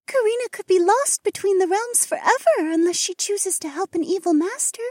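A man's voice: very high voice, lively intonation, normal speed, darkish tone, dry recording, studio quality, voice pushed up. A man voicing an earnest, knowledgeable female attendant who advises her lord in a whispery, deferential way in a fantasy audiobook. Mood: earnest warning.

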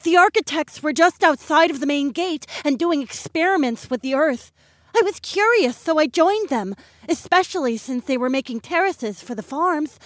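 A man's voice: very high-pitched, fairly bright tone, no echo, studio quality, voice pushed up. A man voicing a frightened young fox-girl farmhand, breathless and tearful as she pleads for help.

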